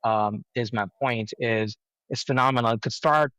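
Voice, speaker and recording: A middle-aged man from India answering interview questions conversationally, haltingly, with many repeated words and filler phrases. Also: medium pitch, steady tone, bright, forceful tone, smooth, no room sound, low-quality recording. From India, raised in Mumbai. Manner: halting, frequent "you know" and repeated words. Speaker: interview guest, cybersecurity professional.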